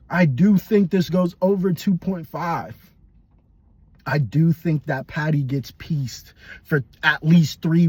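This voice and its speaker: Husky, high-pitched man talking fight picks. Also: a little mellow, dry recording. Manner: blunt, profane, rambling with restarts. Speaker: MMA podcast host. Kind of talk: casual fight predictions.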